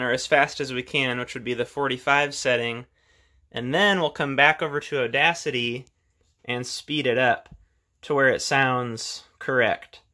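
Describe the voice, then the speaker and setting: Male, thirties, bright forward voice, casual hobbyist host explaining things plainly, small indoor room. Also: medium pitch. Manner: explains step by step in plain words. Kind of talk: casual hands-on tutorial talk.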